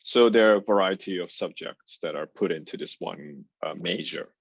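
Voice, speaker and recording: Male interviewer, hesitant, uh fillers, low voice, dead dry room. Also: moderate pace, vocal fry, husky, dry recording. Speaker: interviewer host. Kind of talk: hosted interview. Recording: dry, dull lo-fi sound.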